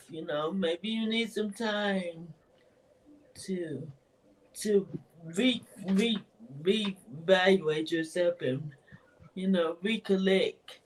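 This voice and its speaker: A woman with a soft voice, speaking hesitantly in a casual interview about her own writing, with frequent laughter. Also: mid-range voice, slow, pauses often, slight background noise. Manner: hesitant, rambling, laughs often. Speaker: interviewee, author. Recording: voice from far off the mic.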